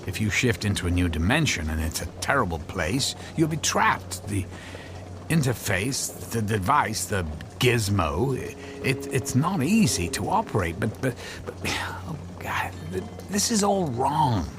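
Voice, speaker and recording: A man's voice: medium pitch, sing-song, unhurried, over music. An actor playing an anxious, cautious husband in a radio drama, hesitant and stammering as he pleads. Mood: worried, pleading. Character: anxious, cautious husband, reluctant inventor of a device.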